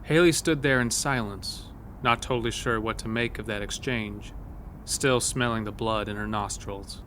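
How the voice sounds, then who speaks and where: A man's voice: lowish voice, moderate pace, a little hiss or hum. A man narrating a scripted horror story as the storyteller of an audio drama. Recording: music bed under the voice. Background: loud music.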